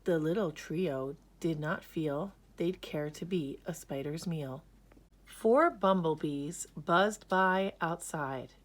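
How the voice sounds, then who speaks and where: Female picture book reader, slightly low register, very slow delivery, expressive scripted storytelling. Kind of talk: scripted children's story read-aloud.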